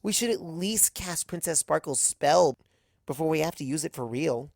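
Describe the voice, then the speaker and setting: A wry young unicorn heroine, played by a man, with a creaky edge to the voice. She is stubborn and casual, a storybook mare recorded close and dry. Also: a little high, lively intonation, moderate pace, no room sound, voice pushed up. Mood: cautious arguing.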